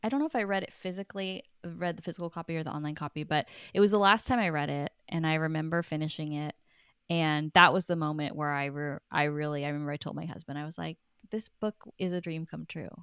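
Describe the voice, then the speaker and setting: A woman's voice: sits low, fairly even intonation, quick pace, fairly bright tone, clear, resonant tone, dry recording, clean studio sound. A woman speaking earnestly and informally as an interview guest, reflective and casual, often saying "like". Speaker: guest, writer and author.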